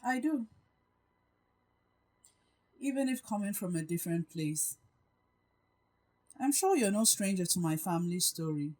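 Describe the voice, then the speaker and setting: A woman's voice: medium pitch, expressive, measured pace, pauses often, a little mellow. A woman playing a poised, self-assured, guarded woman who speaks with composure and deliberation in an audio drama. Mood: candid, sympathetic. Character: Gabrielle, poised, self-assured woman behind emotional walls.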